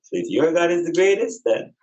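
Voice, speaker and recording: A man's voice: a little high, sing-song, a little fast, a little mellow, muffled recording. A man with a Jamaican accent giving a reflective spiritual talk in an emphatic, preaching manner, with repeated phrases and rhetorical questions.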